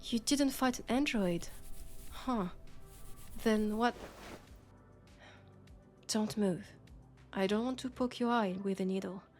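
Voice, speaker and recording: Woman acting a slow-spoken, worried French-accented colleague. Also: medium pitch, darkish tone, faint music behind, natural voice. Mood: matter-of-fact, coaxing. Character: Nyx, worried, exasperated female police colleague and roommate. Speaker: roleplay audio performer. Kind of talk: intimate sci-fi audio roleplay acting.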